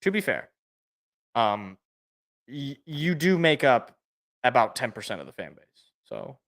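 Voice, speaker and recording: A man with a loud, worn-out voice talks sports in casual podcast chat. He gets excited and trips over repeated words, his tone bright and his pitch swinging widely. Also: a little slow. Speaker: podcast co-host.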